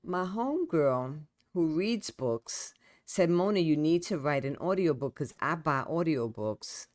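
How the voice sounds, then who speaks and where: A woman's voice: sits low, expressive, measured pace, a little mellow, no room sound, clean studio sound. A middle-aged woman with a New York accent, a guest poet and author chatting casually, repeating words and trailing off. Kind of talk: casual conversation.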